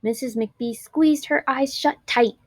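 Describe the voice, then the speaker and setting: A woman narrating a children's storybook aloud in an expressive, clearly enunciated storytelling manner. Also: high voice, a little slow, no room sound, studio quality.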